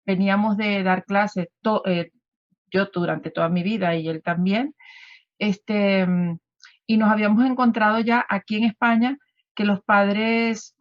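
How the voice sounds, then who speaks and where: A woman's voice: mid-range voice, no room sound. Venezuelan woman guest, muffled line. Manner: conversational, many fillers and restarts. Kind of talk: radio interview.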